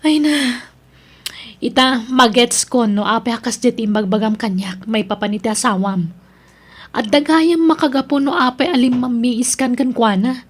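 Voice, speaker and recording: A woman's voice: on the high side, a little slow, husky. A woman with an Ilocano accent acting a disapproving, moralizing friend in a radio drama. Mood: shocked.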